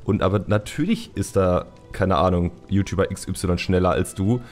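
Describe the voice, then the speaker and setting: A man with a low-pitched voice hosts a casual podcast conversation. He talks in a candid, colloquial way and often repeats words for emphasis. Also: normal speed, a little mellow, faint music behind. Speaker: podcast host and YouTuber.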